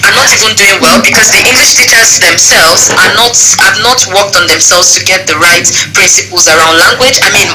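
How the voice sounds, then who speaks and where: A woman's voice: medium pitch, a little fast, hardly any pauses, music in the background. A Nigerian woman with a Nigerian accent, an English teacher speaking as an interview guest, emphatic and often checking that her listener follows. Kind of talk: earnest interview discussion.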